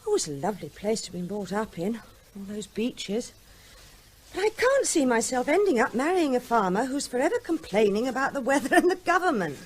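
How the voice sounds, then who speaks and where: An actress playing a vet's playful grown daughter in a vintage radio drama, young and animated. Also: female voice, on the high side, fairly quick, slightly rough, low-quality recording. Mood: lively. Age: young adult. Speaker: Tess, the daughter.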